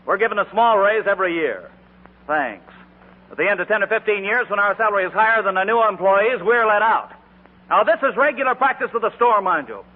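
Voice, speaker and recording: An actor playing a plain-spoken, confident store union organizer in a 1940s radio drama, on an old broadcast recording. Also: male voice, high-pitched, animated, brisk, big-room sound, narrow, tinny audio. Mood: confident, earnest.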